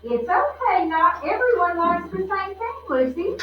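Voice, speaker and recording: A woman voicing a kind, wise grandpa in a children's storybook, gentle and encouraging. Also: very high voice, very animated, a little slow, voice pushed up, heavily acted. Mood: reassuring. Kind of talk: children's storybook read-aloud. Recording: roomy, distant, muffled, faint noise.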